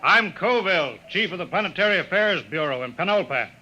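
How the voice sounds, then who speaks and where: Gruff, forceful bureau chief. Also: male voice, high-pitched, lively intonation, brisk, lo-fi sound, exaggerated voice. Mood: commanding, impatient. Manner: blustering, commanding, impatient. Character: gruff, bossy, hot-headed colonial bureau chief. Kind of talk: 1950s radio drama acting. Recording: noisy old radio recording.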